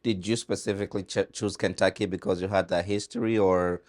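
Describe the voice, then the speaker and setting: A man hosting a local restaurant interview in a friendly, brisk way, with many short replies while his guest talks. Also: low voice, fairly even intonation, a little mellow. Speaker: host. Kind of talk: casual interview.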